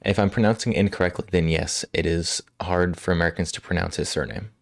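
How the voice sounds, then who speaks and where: A male livestream host chatting casually with viewers, slightly hoarse from a cold, relaxed and quick to laugh. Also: deep voice, no room sound, studio quality. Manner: relaxed, laughs often, answers chat. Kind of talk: casual chat with live chat. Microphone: studio microphone.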